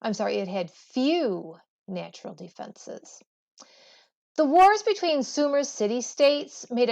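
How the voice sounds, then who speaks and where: A woman teacher reading a textbook aloud to students in a measured, explanatory way. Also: fairly high pitch, a little mellow. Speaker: school teacher. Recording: dull, muffled lo-fi audio.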